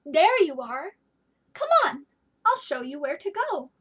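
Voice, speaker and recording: Woman voicing female storybook principal, effusive, gushing, high-pitched, bustling. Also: big pitch swings, a little slow.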